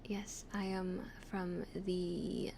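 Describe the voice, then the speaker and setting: A young Canadian woman from the West Coast with an accent close to Californian, whispering softly and chatting as an ASMR streamer on a close microphone. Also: fairly low pitch, flat delivery, extremely slow, gravelly, a little hiss or hum. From western Canada. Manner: soft whispering, chatty, laughs often.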